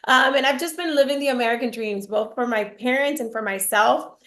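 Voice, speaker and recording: A woman's voice: a little high, brisk, fairly bright tone. A woman from Miami, born in Cuba, presenting her business in a bubbly, gushing way. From Cuba, raised in Miami. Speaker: network marketing presenter. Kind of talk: promotional live presentation.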